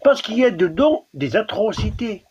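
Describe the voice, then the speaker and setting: A man's voice: high-pitched, very animated, moderate pace, darkish tone, highs cut off, slight background noise. A man from Algeria with a Kabyle accent, whose first language is Kabyle, arguing insistently in a live debate and often restarting his words. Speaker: debate guest.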